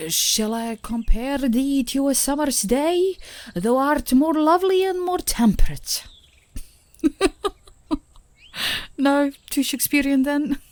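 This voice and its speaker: A woman playing a tender, playful, adoring girlfriend in an intimate ASMR romantic roleplay, speaking softly and gently, often in a whisper. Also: sits high, no echo. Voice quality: soft, breathy, often whispering. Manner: gentle, affectionate, unhurried, giggly. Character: tender, playful, adoring girlfriend comforting her partner outdoors.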